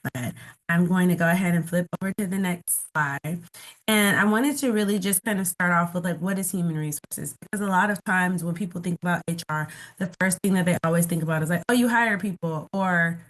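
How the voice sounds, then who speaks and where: A woman's voice: lowish voice, fairly quick. A woman in her thirties from Los Angeles, getting over a cold, giving a casual, explanatory webinar talk full of "like" fillers. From Los Angeles, California. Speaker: guest speaker. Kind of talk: webinar presentation.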